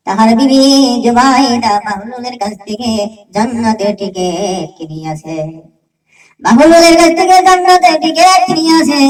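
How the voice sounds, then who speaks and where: A woman's voice: mid-range voice, darkish tone, recorded from a distance, poor audio. A woman telling a religious story in a dramatic narration, with emphatic repetition of phrases. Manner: emphatic, repeats phrases for effect.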